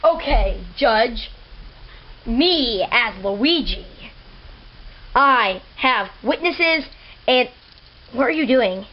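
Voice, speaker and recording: A young woman with a high voice leads a homemade plush toy courtroom at an unhurried, playful pace, breaking into giggles. The recording is dull and lo-fi from a home camera, with faint hiss behind her. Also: expressive. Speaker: narrator and prosecutor of a toy show.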